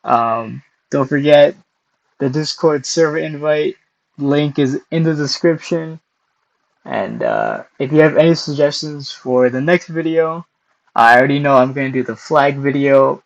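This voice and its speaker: A young man hosting a casual screen-recorded software tutorial, hoarse and losing his voice, clearing his throat often between steps. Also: fairly high pitch, lively intonation, unhurried, highs cut off. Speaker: tutorial host.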